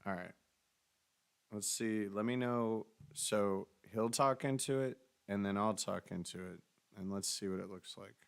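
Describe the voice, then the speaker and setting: A man with a deep, bassy voice, co-hosting a casual stream chat in a loose, joking way. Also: unhurried, creaky, smooth. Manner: loose, joking, talks about mic setup. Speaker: stream co-host.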